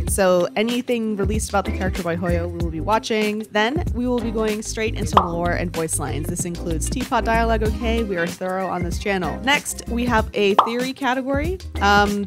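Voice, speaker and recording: A young woman with a stuffy, congested nose, giving chatty, frank commentary on a video game and laughing often. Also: mid-range voice. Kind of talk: casual reaction commentary. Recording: game music playing under voice. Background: game music underneath.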